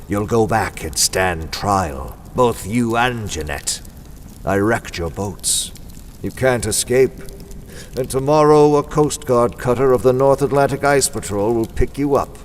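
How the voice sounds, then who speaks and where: A slow, menacing masked avenger. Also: male voice, fairly low pitch, animated, in a large hall, a little hiss or hum. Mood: stern, gloating. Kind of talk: 1940s radio drama acting.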